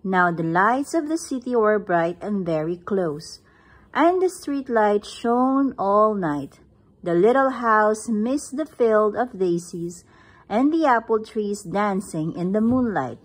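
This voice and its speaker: Slow, breathy woman reading aloud. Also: medium pitch, expressive. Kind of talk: slow picture-book read-aloud. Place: indoors, at home.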